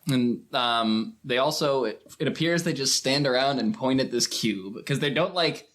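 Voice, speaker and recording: A man's voice: medium pitch, moderate pace, a bit bright. A man talking casually in a film discussion, retelling things informally with mock quotes. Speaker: film podcast speaker.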